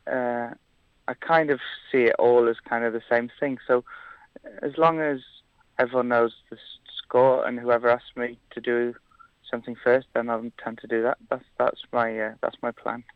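A man's voice: mid-range voice, little pitch movement, normal speed, clean tone, no room sound, narrow, tinny audio. A man from Wales, a musician, chatting casually in an interview, hesitant with many fillers and restarts. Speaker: musician guest.

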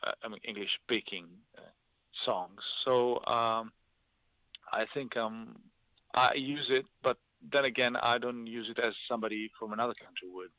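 A man's voice: mid-range voice, steady tone, moderate pace, no echo. A man from Greece, Italian as first language, talking hesitantly with many fillers as a musician guest in an interview. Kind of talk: interview over a call. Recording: dull, dry line.

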